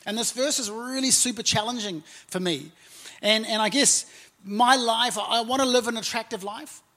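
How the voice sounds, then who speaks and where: A man's voice: very high-pitched, moderate pace, pushed and bright. A man in his forties with a New Zealand accent, preaching as a pastor in a conversational way, full of "and, uh" fillers. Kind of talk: sermon. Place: church auditorium.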